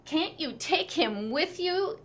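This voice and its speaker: A woman voicing a whiny, scornful older brother in a children's storybook, quick to snap. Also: extremely high pitch, animated, a bit bright, slight background noise, pitched above the performer's own voice, heavily acted. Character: whiny, bossy older brother, a bickering schoolboy.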